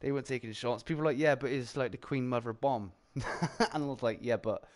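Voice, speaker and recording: A man with a British accent, hosting a casual round-table chat about games, talking in quick bursts, often laughing and filling with "like". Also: mid-range voice. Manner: animated, chatty, laughs often, heavy filler "like". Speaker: streamer and show host.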